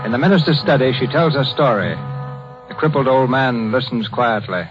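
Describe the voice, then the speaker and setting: A man's voice: medium pitch, moderate pace, over music, natural voice. A man narrating a scripted 1930s radio drama as its host. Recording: dull 1930s radio broadcast recording.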